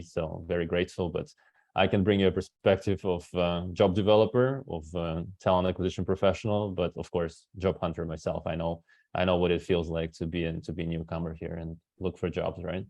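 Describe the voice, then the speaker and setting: Talkative thirties male recruiter, first language Russian, deep voice, dry sound. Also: level tone, brisk, darkish tone, no echo. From Kazakhstan. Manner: talkative, tags many sentences with "right?". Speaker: guest speaker, recruiter. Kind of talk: webinar talk.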